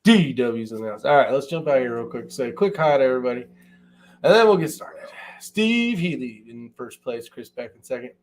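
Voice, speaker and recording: A man's voice: medium pitch, lively intonation, moderate pace. A man hosting a casual live-stream chat, talking in an informal, loose and rambling way. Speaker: host of a shooting live chat show.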